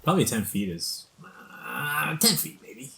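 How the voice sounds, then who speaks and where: A man's voice: medium pitch, big pitch swings, measured pace, creaky, bright, forceful tone. A man bantering casually as a player in a tabletop roleplaying game.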